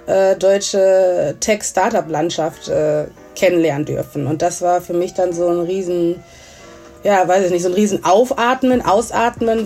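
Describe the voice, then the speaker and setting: A woman of thirty from Germany talks as an interview guest in a loose, chatty way. Her pitch is average, she drops English terms into her sentences, and music plays faintly behind her. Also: dark tone. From Germany, grew up in Essen. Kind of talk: casual conversational interview.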